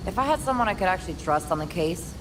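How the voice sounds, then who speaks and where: An actress playing a weary, sardonic middle-aged police detective in a video game, dry and sarcastic. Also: female voice, on the low side, animated, fast talker, lightly acted. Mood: grim. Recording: noisy game cutscene audio.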